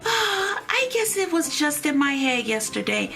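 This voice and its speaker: Woman as excitable young pet owner for a kids' roleplay story: very high, lively, slow delivery, music underneath. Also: vocal fry, full character voice. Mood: relieved, playful. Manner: dramatic, exclaiming. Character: excitable pet owner girl who fears she is imagining talking pets. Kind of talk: animated roleplay story for children.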